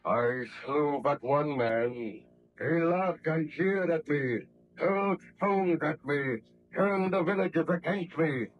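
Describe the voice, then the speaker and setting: An actor playing a brooding, vengeful monster, speaking slowly and formally with menace in 1930s radio drama style. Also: male voice, fairly high pitch, soft background music. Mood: cold menace. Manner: slow, menacing, deliberate, formal. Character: brooding, vengeful male monster, hideous murderous creation. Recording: dull lo-fi old radio recording.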